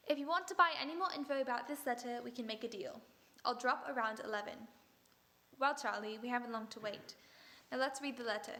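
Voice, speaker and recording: A woman playing a composed, sharp detective in an old-time radio drama, cool and in command. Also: a little high, a little fast.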